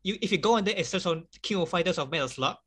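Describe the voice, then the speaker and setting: A man whose first language is Chinese, chatting casually as an interview guest, laughing often and filling pauses with "like" and "um.". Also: sits high, expressive, a bit bright, husky.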